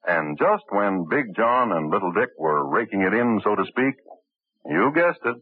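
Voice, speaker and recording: A man's voice: fairly low pitch, sing-song, normal speed, dry recording. A man narrating a crime story with a wry, storytelling manner in an old radio drama. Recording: tinny old radio broadcast, faint hiss.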